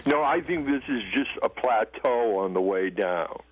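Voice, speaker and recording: A man's voice: medium pitch, expressive, measured pace, breathy edge, highs cut off. A man calling in to a radio show, sharing earnest, firm opinions. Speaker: caller. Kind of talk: call-in radio chat.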